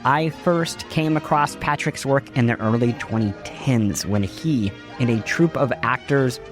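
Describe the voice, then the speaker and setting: A man's voice: medium pitch, unhurried, over music. A man hosting a podcast, reading scripted narration in an articulate, emphatic way. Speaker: podcast host.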